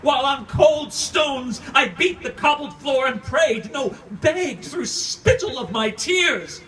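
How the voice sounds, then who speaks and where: A man's voice: extremely high pitch, expressive, takes it slowly, bright, forceful tone, pitched above the performer's own voice, exaggerated voice. A man with a Californian accent performing a dramatic monologue as a grieving, embittered centuries-old vampire count, theatrical and declamatory in archaic poetic phrasing. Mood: anguished, raging. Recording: distant live room recording, faint noise.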